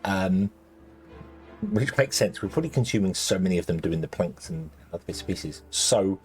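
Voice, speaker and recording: A man with a low, slightly croaky voice, rambling through gameplay commentary with plenty of ums and restarts. Soft game music plays under his desk-mic narration. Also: animated, moderate pace. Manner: rambling, lots of ums and self-corrections. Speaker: let's play host.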